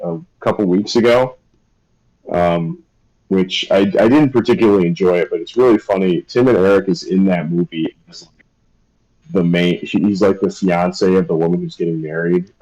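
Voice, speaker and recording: A man's voice: low voice, normal speed, darkish tone, rough recording. A young man chatting casually on a live stream, rambling and hesitant with lots of fillers. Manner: rambling, hesitant, self-deprecating. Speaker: streamer and artist.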